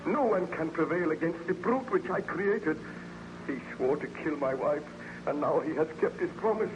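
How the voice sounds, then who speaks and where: An actor playing a tormented, fearful baron in old-time radio melodrama, speaking with theatrical anguish and formal diction. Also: male voice, fairly high pitch, moderate pace, highs cut off, natural voice. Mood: anguished, vengeful. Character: tormented, fearful baron haunted by the monster he created. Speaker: lead actor and narrator in a radio serial. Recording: noisy old radio transcription.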